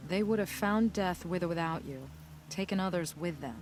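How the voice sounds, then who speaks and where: A woman's voice: lowish voice, normal speed, natural voice. An actress playing a stern, dry female warrior in a video game. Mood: dry respect. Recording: music behind the voice.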